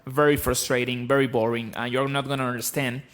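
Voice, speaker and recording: Guitar teacher, thirties, Argentine-accented male, blunt and lively, close mic in a small home room. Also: mid-range voice, fairly quick. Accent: Argentine Spanish. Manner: blunt, animated, explaining. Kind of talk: live-streamed guitar lesson. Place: small room with bookshelves, indoors. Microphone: studio mic on stand, close.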